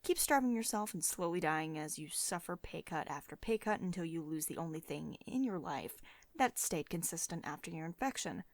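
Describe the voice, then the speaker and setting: A woman playing a commanding, possessive billionaire CEO in an intimate ASMR roleplay, speaking in a measured, condescending, coaxing way to one listener. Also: lowish voice, animated, dry recording. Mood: businesslike, insistent. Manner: measured, condescending pet names, drops into breathy whispers.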